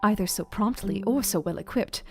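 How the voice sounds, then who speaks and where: A woman's voice: mid-range voice, normal speed, close to an everyday voice. Earnest Victorian young wife, played by a woman, guitar behind. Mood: grateful, admiring. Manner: earnest, heartfelt, formal old-fashioned phrasing. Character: Mina, brave, devoted young Victorian wife writing her journal. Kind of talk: dramatized diary narration in a radio play.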